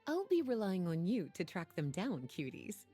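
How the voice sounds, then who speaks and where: Game sorceress-librarian, soft and mellow, lively swoops of intonation, poised, lazily teasing, knowing. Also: female voice, on the low side, normal speed, faint music behind. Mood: intrigued. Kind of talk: video game character dialogue.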